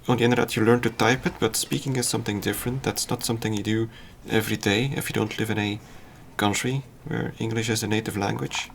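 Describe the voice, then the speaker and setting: A man whose first language is Dutch, casually talking through his game on a livestream in a halting, thinking-aloud way. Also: on the low side, vocal fry, slight background noise. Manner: halting, self-deprecating, thinks aloud with fillers. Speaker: streamer.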